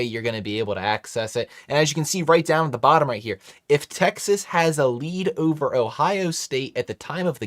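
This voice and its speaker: Male streamer host, chatty and upbeat, mid-pitched voice, dry close sound. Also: no echo. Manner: chatty, upbeat, talks to chat. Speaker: livestream host. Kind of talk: casual live stream chat.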